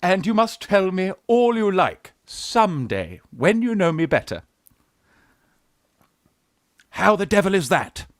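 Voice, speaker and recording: Farce radio drama: young man posing as a genteel aunt, high, very animated, archly coy, dry recording. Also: slow pace, long pauses, no room sound, exaggerated voice. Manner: flustered, haughty, archly coy. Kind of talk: Victorian farce radio acting.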